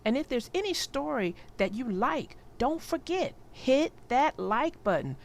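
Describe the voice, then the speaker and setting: A woman narrating a storybook for young children in an expressive, deliberate way. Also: high-pitched.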